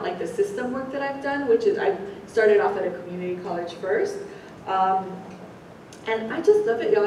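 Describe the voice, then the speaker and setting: An American woman presents informally to new students in a chatty, halting way. Her voice sits at a middling pitch. It comes from a distance in a very echoey room, with faint rumble underneath. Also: warm tone, highs cut off. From San Francisco Bay Area, United States; born in the Philippines. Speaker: orientation presenter, university associate director.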